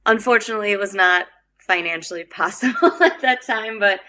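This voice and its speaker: A woman's voice: mid-range voice, a little fast, pushed and bright. A young adult woman singer with a Wisconsin accent, chatting casually as a podcast guest, laughing often and acting out quotes.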